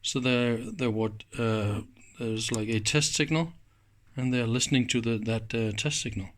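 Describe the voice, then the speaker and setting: A man with a mid-pitched voice commentates on an electronics teardown. His delivery is very slow and halting, as he thinks aloud in short pieces while examining parts. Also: steady tone. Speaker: host of an electronics teardown channel.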